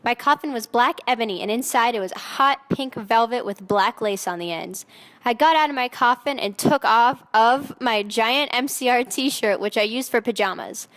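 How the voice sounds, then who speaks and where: A woman's voice: on the high side, moderate pace, a bit bright, natural voice. A woman voicing a haughty, melodramatic seventeen-year-old goth vampire girl in a dramatic fan fiction reading, with emphatic teenage delivery. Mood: self-absorbed narrating. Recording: echoey hall with long reverb.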